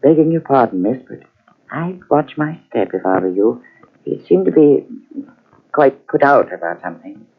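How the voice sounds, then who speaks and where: An actor in an old-time radio mystery playing a kindly, deferential manservant with a courteous, hesitant manner. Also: male voice, medium pitch, very animated, moderate pace, husky. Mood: deferential, uneasy. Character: deferential, kindly manservant who discreetly warns. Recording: tinny, band-limited old radio cassette transfer.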